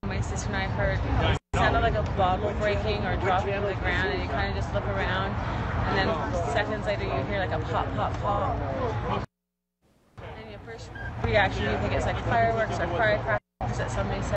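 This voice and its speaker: Flat-toned female eyewitness in loud street noise. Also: medium pitch, fairly quick. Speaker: eyewitness interviewee. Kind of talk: spontaneous eyewitness account. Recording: loud noisy street with crowd. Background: crowd and traffic.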